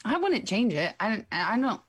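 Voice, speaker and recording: A woman's voice: medium pitch, very animated, moderate pace, husky. A young woman co-hosting a radio morning show, giggly and teasing in casual banter. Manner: giggly, self-deprecating, teasing. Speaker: radio co-host. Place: radio studio.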